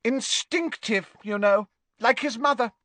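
A man voicing a tipsy, jovial older male professor who gushes and booms his words. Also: measured pace, no room sound, exaggerated voice. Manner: hearty, gushing, loud exclamations.

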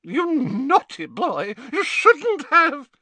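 A man voicing a fussy, vain old rich woman who talks in a shrill, simpering and imperious way. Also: huge pitch swings, very slow, sharp tone, no echo, heavily acted.

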